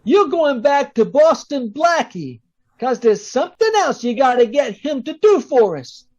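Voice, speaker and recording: Woman playing a high-voiced, cocky radio crook. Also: sing-song, unhurried, darkish tone, lo-fi sound, exaggerated voice. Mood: cocky, bossy. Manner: slangy, bossy. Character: tough, cocky small-time crook, bossing a sweetheart. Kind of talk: old-time radio drama acting.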